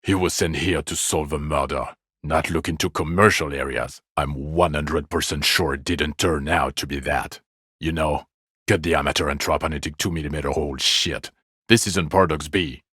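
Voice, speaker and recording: Fed up sardonic cop from a video game, super low voice w/ a hard edge, sweary, dry close sound. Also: male voice, fairly even intonation, normal speed, fairly bright tone, slightly rough, dry recording, heavily acted. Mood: exasperated. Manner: sarcastic, scornful, profane. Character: exasperated, bitter, sardonic police detective berating his drunk partner.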